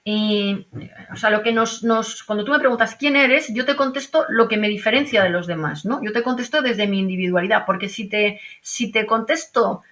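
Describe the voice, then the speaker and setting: A woman's voice: mid-range voice, moderate pace, a bit bright. A middle-aged woman with a Madrid accent, an archaeologist being interviewed over a video call, who talks reflectively with many fillers, restarts and tag questions. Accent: Madrid Spanish. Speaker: archaeologist guest. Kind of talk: conversational interview.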